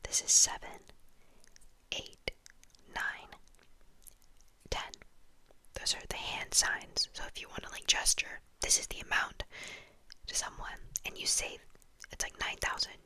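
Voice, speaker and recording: A woman whispering an ASMR-style lesson, repeating words softly and slowly over and over. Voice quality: soft whisper. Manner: repetitive, slow, breathy trigger-word repetition. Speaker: language teacher. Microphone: camera mic.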